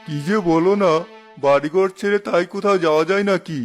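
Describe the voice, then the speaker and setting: Guy doing cartoon village dad, worried n stubborn, pretty high voice, faint music behind. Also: brisk, natural voice. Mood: doubtful, curious. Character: worried village husband and father, hesitant, stubborn. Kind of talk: cartoon dialogue.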